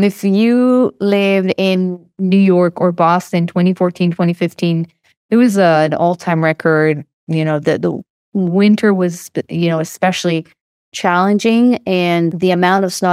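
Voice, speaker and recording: Israeli woman, low voice, relaxed fillers. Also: moderate pace, smooth, no room sound, studio quality. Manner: casual, many fillers and restarts. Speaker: guest. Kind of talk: podcast interview.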